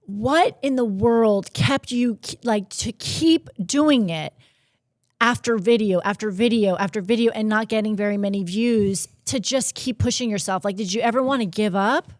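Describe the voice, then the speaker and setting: A woman's voice: medium pitch, normal speed, dry recording. A woman podcast host who asks long, hesitant questions full of fillers. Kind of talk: casual interview chat.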